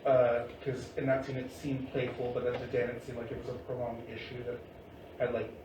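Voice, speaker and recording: A man speaks with very little pitch movement, thoughtful and measured in an informal discussion. He is heard from a distance in an echoey room. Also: flat delivery. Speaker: audience member giving feedback. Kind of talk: informal post-reading discussion. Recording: noisy, echoey room from far off.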